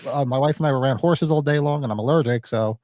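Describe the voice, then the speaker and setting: A man's voice: mid-range voice, fast, darkish tone, no echo. A man co-hosting a casual horror podcast, sniffly from allergies, rambling with plenty of fillers. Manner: rambling, laid-back, lots of fillers. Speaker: podcast co-host.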